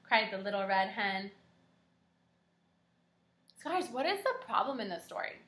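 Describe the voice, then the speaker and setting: A young woman teaching kindergarten, reading aloud and prompting children with animated, encouraging questions. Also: medium pitch, a little slow. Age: young adult. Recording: echoey indoor room.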